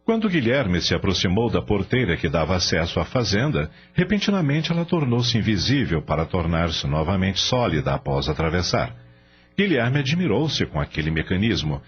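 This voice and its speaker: A man narrates a radio drama in a fairly low voice, with a lot of movement in his pitch. The recording is somewhat dull, with a faint hum. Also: very animated, normal speed.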